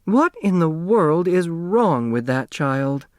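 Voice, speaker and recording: Man voicing a syrupy, high-pitched social-climbing mother. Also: sing-song, slow pace, darkish tone, no echo, studio quality. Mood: exasperated. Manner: wheedling, syrupy, affected. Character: gushing, wheedling social-climbing middle-aged mother, honey-sweet and uppity.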